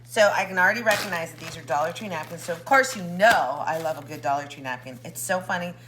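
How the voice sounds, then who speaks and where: A woman hosting a casual craft vlog, a little hoarse, chatting excitedly as she opens a package. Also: on the low side, fast talker, background noise. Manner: chatty, excitable, jokes with a pet. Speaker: craft channel host.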